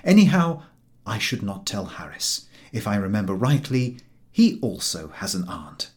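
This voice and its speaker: Man playing a dry, measured English gentleman. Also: on the low side, sing-song, voice pushed down. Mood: dry amusement. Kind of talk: audiobook character dialogue.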